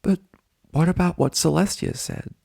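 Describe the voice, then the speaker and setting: A man voicing a young baby dragon in a story reading, gentle, hesitant and worried. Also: fairly high pitch, moderate pace, vocal fry, husky, dry recording, voice pushed up, heavily acted. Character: young male baby dragon assistant, concerned and timid.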